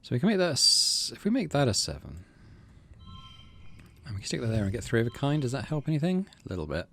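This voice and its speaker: A man's voice: mid-range voice, huge pitch swings, fast, fairly bright tone. A man hosting a game playthrough, switching between casual commentary and reading story text aloud. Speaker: gaming channel host.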